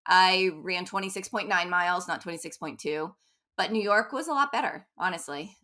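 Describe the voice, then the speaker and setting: A woman's voice: on the low side, bright, forceful tone. A woman running coach talking casually in an interview, chatty and upbeat. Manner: chatty, upbeat, quick asides with laughs.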